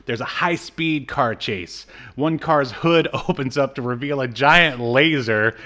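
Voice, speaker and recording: A man's voice: mid-range voice, measured pace, pushed and bright. A man co-hosting a podcast, casually recapping an episode with wry, sarcastic asides. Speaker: podcast co-host.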